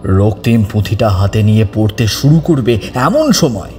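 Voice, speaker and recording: A man's voice: fairly low pitch, animated, normal speed, warm tone. A man narrating a scripted horror story as an audiobook narrator. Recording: long reverb over background music.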